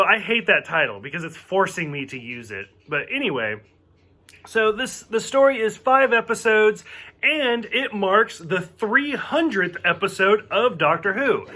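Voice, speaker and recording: A man's voice: high-pitched, bright, forceful tone. A man from the southern United States with a mostly hidden Southern accent, chatting casually through a TV review and rambling with lots of fillers. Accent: light Southern US, mostly covered.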